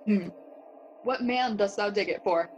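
Woman playing brooding young noble, slow and deliberate. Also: mid-range voice, slight background noise, lightly acted, low-quality recording. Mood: playful sparring. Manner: musing, questioning, theatrical. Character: young Hamlet, brooding, witty, curious noble. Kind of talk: bilingual Spanish-English Shakespeare audio play acting.

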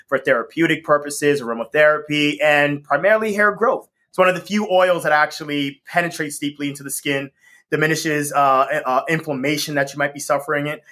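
An energetic man speaking as a guest on an interview show, with a somewhat high, bright and forceful voice. He talks in a loose, upbeat way, laughing often and filling pauses with ums. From Northeast US. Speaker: guest, company co-founder. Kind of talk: casual interview chat.

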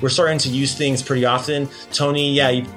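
A man with a mid-pitched voice leads a playful language lesson as host. He talks casually and encourages his learner, with music in the recording. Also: level tone, fairly quick, fairly bright tone. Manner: encouraging, praising the learner often.

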